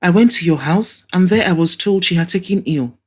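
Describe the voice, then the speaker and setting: A woman's voice: lowish voice, fairly quick, husky, voice pushed up. A woman voicing an effusive, nostalgic older mother and hostess in an audio drama. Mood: sorrowful. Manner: effusive, exclaiming, reminiscing.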